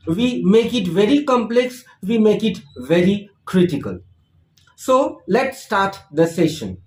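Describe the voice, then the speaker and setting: A man with an Indian English accent gives a slow, deliberate spoken English lesson, asking rhetorical questions as he explains. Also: high-pitched. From India (Kolkata). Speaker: English teacher. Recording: echoey room.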